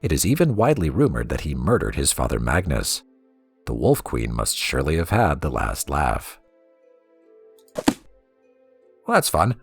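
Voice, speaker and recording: Male game storyteller, bottom-register voice with a fry edge and animated intonation, reading lore books aloud. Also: very deep voice, unhurried, long pauses, faint music behind.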